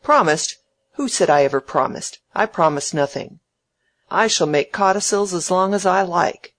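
Snappish old miser for an audiobook, played by the narrator: curt, sneering, suspicious, forceful orders. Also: medium pitch, moderate pace, lightly acted. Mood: scornful, indignant. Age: elderly. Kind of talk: dramatised audiobook character.

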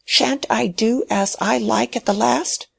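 A woman's voice: a little high, measured pace, vocal fry, slightly rough, no room sound, clean studio sound, pitched above the performer's own voice, heavily acted. A woman doing a shaky, insistent dying old man. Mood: agitated. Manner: pleading and commanding, voice shaking.

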